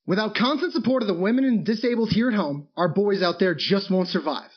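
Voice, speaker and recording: A man's voice: sits high, a little fast, lo-fi sound, noisy background, close to an everyday voice. An actor playing an upbeat male propaganda radio announcer in a wartime audio drama. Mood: rallying.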